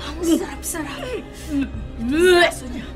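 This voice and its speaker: A woman's voice: very high voice, slow pace. An actress playing an undead young woman who eats human flesh, gloating and relishing each word, in a radio drama. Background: dramatic music.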